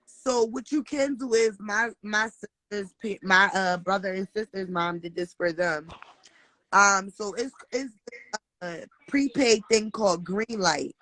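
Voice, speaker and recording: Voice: medium pitch, a little slow, bright, forceful tone, rough recording. A host giving earnest, encouraging advice in a casual chat, repeating phrases for emphasis.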